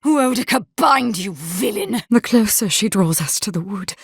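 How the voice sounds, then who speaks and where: A woman voicing a stern, haunted imperial captain in a video game, with formal, deliberate wording. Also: mid-range voice, breathy edge, dry recording, clean studio sound. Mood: feverish, tormented. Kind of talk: scripted game character dialogue.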